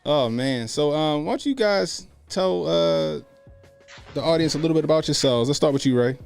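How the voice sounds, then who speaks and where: A man's voice: a little high, lively intonation, normal speed, vocal fry, darkish tone. An American man in his late thirties hosting a stream in casual, slangy, salty-tongued, joking chat. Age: late 30s. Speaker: podcast and livestream host. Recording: music bed under speech. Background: music.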